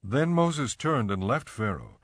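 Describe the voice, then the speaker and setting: A man's voice: mid-range voice, very animated, measured pace, dry recording, studio quality. A man narrating scripture as the scripted narrator of a dramatized audio Bible.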